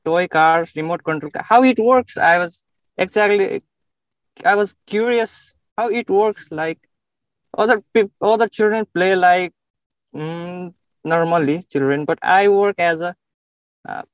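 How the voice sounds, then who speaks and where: Slow, halting young man from Nepal. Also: high-pitched, clear, resonant tone, no room sound. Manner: halting, searching for words with many fillers. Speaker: interviewee. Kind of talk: casual interview.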